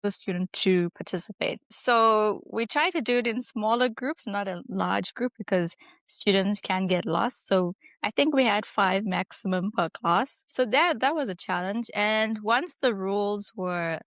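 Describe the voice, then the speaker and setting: A woman's voice: mid-range voice, a little slow, a bit bright, dry recording. A young woman from South Africa with a South African accent, telling her story as a guest in a casual interview, chatty and full of fillers. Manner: chatty, laughs easily, many "like" fillers. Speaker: guest, English teacher.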